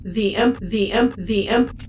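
A woman's voice: mid-range voice, slow pace, noisy background, close to an everyday voice. An actress playing a malfunctioning female computer intelligence in an audio drama, stuttering and repeating fragments of gibberish. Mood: cryptic, glitching. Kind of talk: scripted audio drama acting.

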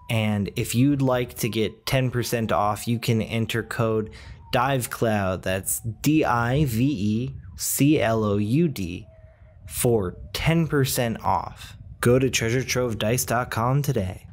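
A man's voice: fairly low pitch, takes it slowly. A man hosting a podcast, reading a sponsor message in a playful in-character style. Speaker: podcast host.

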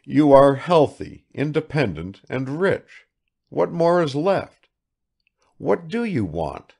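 A man performs a harsh young sceptic from a literary audiobook. He is blunt and brooding, argues in slow, heavily inflected phrases, and his raised voice has a gravelly creak. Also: a little high, very animated, a little mellow, voice pushed up, heavily acted. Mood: incredulous, insistent. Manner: harsh, muttering, argumentative. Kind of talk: dramatised literary audiobook dialogue.

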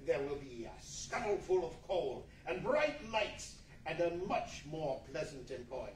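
A man's voice: a little high, big pitch swings, a little slow. A man acting a reformed old miser in a 1940s-style radio play, effusive, generous and repentant in a theatrical manner. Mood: excited, generous. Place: large indoor meeting room, live stage reading. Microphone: far camera. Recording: echoey meeting room from far off, noisy.

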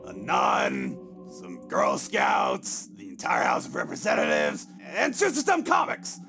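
A man playing a grumpy, blustering old con man great-uncle in a comic dub, with a gravelly voice and loud, indignant ranting. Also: a little high, huge pitch swings, slow pace, over music, full character voice. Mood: exasperated. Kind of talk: comic dub character acting.

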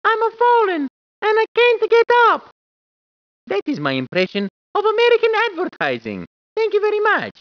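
A man's voice: extremely high pitch, huge pitch swings, moderate pace, no echo, full character voice. A man voicing a cheerful, clowning cartoon plumber in a video game, with a put-on Italian accent, squeals and laughs. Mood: playful. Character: cheerful plumber man, jokey, eager and bouncy. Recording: dull, dry game audio.

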